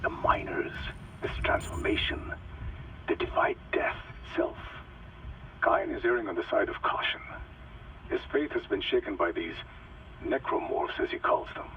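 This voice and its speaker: An actor playing a fanatical, quietly reverent doctor dictating a log in a measured, breathy, savouring way. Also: male voice, low voice, expressive, recorded from a distance, slight background noise, exaggerated voice, rough recording. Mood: reverent, sinister. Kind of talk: dramatic game acting, recorded log.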